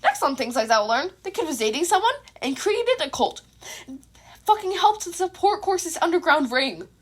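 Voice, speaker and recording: High-voiced young woman reading fan fiction. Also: fairly bright tone. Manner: informal, sweary. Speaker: fan fiction writer and narrator. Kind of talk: expressive story narration and casual outro.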